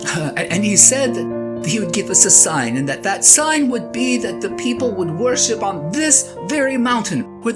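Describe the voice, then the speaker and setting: A woman's voice: medium pitch, natural voice. A woman playing a flustered, self-doubting shepherd husband in an audio drama, stammering earnestly as the character confides in a spouse. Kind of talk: one-sided audio drama monologue, conversational and comic. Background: plucked guitar music.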